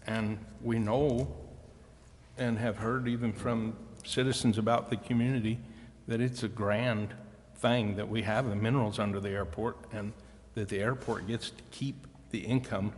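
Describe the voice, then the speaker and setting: A man making his point in a measured, plain-spoken way as a council member at a public meeting. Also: mid-range voice. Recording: reverberant hall, faint background noise.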